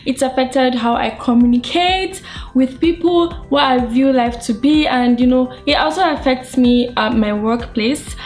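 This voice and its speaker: A woman's voice: high voice, a little slow, a little mellow, soft background music. A young woman from Nigeria with a Nigerian accent, English not her first language, giving earnest tips to camera in a small room. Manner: earnest, gives advice in numbered tips. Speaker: vlogger. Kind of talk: casual talk to camera.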